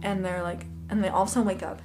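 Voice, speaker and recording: A young woman co-hosting a podcast, excitedly retelling a story with lots of "like" and laughter. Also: mid-range voice, very fast, over music. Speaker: podcast co-host. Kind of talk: casual chat.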